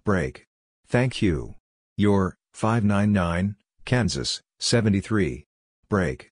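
A man with a low voice reads short scripted lesson phrases for Morse code practice. His delivery is slow and measured, each piece set apart from the next, in a dry recording with no room sound. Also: expressive, dry recording.

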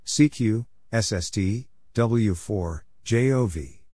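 A man reading out short scripted phrases as a practice narrator, deliberately spelling things letter by letter. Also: on the low side, animated, mellow, slight background noise. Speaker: Morse code practice narrator.

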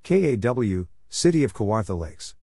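Low-voiced man reading short drills, noisy recording. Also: sing-song, normal speed, creaky. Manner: spells letter by letter, short isolated phrases. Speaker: Morse code practice instructor. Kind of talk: scripted read-out of spelled codes and place names.

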